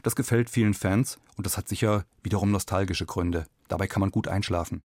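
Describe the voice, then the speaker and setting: Lowish-voiced man, analytical radio commentary. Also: a little fast, a little mellow, husky, no echo, clean studio sound.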